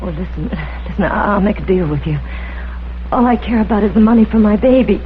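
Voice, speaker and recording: A woman's voice: medium pitch, expressive, brisk, natural voice. An actress playing a desperate mother bargaining with criminals, pleading and melodramatic, in an old crime drama. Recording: tinny, noisy vintage broadcast audio.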